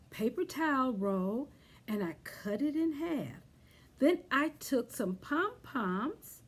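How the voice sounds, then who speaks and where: A woman hosting storytime for young children, encouraging and sing-song as she leads rhymes and simple activities. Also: sits high. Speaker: children's library storytime host. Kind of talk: children's storytime with rhymes, songs and craft talk.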